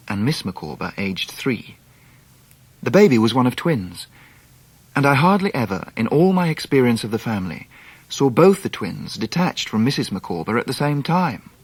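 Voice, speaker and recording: An actor playing a grown man recalling his boyhood, narrating a literary radio drama in a measured British voice. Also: mid-range voice, breathy edge, no echo. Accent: British English. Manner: measured, reflective.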